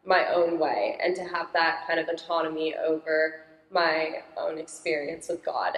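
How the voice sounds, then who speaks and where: Loud-voiced teenage girl in an echoey church. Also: low voice. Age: teens. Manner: naturally loud, upbeat. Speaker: young parishioner guest. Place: large church sanctuary. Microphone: handheld microphone.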